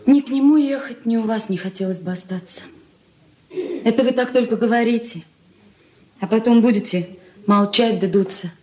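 An actress playing a discontented, reproachful young married daughter, frank and petulant, in a stage-style radio drama. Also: female voice, fairly high pitch, moderate pace, pauses often, dark tone, natural voice. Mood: resentful. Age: young adult.

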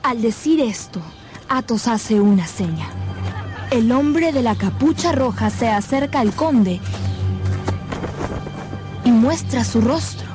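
A woman's voice: fairly high pitch, lively intonation, slow pace, pauses often, music in the background. A woman delivering scripted, dramatic narration for a radio play.

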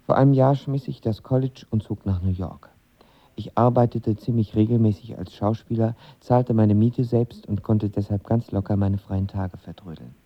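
A man's voice: fairly low pitch, normal speed, no echo, muffled recording. An actor playing a lovestruck young man of twenty who tells his story in casual slang in a radio drama. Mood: reflective. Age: young adult. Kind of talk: radio drama narration and dialogue.

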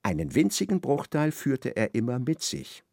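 Man, narrator, literary radio drama, lively intonation, gravelly, dry close recording. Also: medium pitch, normal speed, no room sound.

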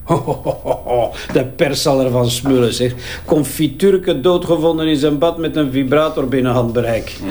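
An actor playing a talkative, sardonic crime-scene investigator with a Flemish accent and a wry, bantering way of talking. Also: male voice, medium pitch, moderate pace, hardly any pauses, darkish tone, slight background noise, lightly acted. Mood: sardonic, amused. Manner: wry, bantering, hesitating as he examines. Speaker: forensic investigator. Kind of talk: naturalistic audio crime drama acting.

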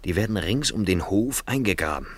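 Village garage man: deep, terse, skeptical, matter-of-fact, old radio play sound. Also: animated, a little fast, dry recording. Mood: wary, explaining. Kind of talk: German radio play acting. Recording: noisy vintage radio drama recording with clicks.

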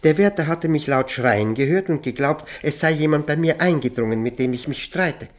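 A man's voice: a little high, moderate pace, natural voice. A man acting a courteous, nervous former prisoner in a radio play, speaking hesitantly and reflectively with formal politeness. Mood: confiding, reflective. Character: haunted Austrian lawyer, former Gestapo prisoner, courteous and nervous. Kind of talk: German radio play acting, confiding narration.

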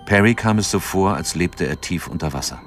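A man narrating an audio drama from a script in a measured, sober manner. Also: sits low, animated, faint music behind.

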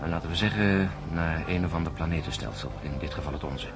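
An actor playing an embittered, suspicious astronaut in a science-fiction radio drama, emphatic and sardonic, with brooding hesitations. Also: male voice, extremely low pitch, fast. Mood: urgent, emphatic. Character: embittered, suspicious male astronaut insisting on his alarming sighting.

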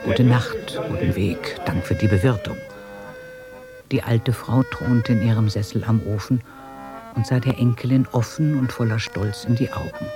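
Guy as calm narrator for a german radio drama, literary village tale, music under the voice. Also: medium pitch, natural voice. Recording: 1980s radio play on cassette.

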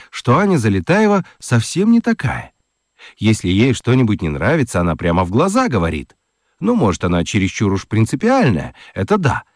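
A man narrating a children's radio play in a gentle, very expressive storytelling manner. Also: mid-range voice, no room sound, studio quality.

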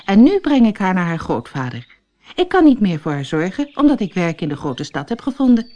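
A woman voicing a brisk, impatient young aunt who speaks in a firm, matter-of-fact way. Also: mid-range voice, sing-song, dark tone, dull audio, close to an everyday voice. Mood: reassuring.

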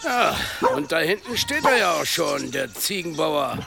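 An actor playing a reasonable village mayor who placates and calms others in a radio drama. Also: male voice, fairly high pitch, unhurried, vocal fry, heavy background noise, heavily acted. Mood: alarmed, calming. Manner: placating, pragmatic. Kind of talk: German radio drama acting.

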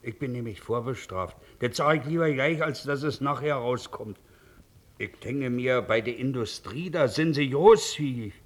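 An actor playing a stubborn, plain-spoken ex-convict shoemaker looking for work in an old German radio play. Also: male voice, medium pitch, moderate pace, close to an everyday voice. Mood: frank, hopeful. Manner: plain-spoken, unbowed, working-class directness.

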